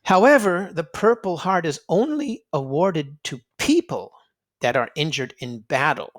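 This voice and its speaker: A man reading aloud to children in an expressive storybook style, dramatic and emphatic, drawing out words for effect. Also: on the high side, measured pace. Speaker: children's read-aloud narrator and quiz host.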